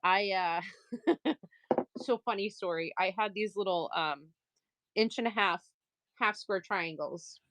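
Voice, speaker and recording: A woman's voice: mid-range voice, slow, long pauses, a bit bright. A woman with a light Maine accent chats to viewers while she sews, laughing often at her own plans. Her voice sits in a middle range. The sound is dry and close, with little room echo. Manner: chatty, laughs often, self-mocking asides; talks while working, moving around the table. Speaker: quilter streaming live sales. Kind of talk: casual live-stream sewing chat.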